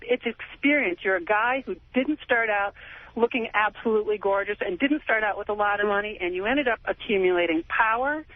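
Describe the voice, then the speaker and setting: A woman's voice: mid-range voice, a little fast. An American woman in her forties calling a radio show, talking casually in a chatty, emphatic way. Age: 40s. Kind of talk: casual phone-in talk. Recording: tinny phone line on radio.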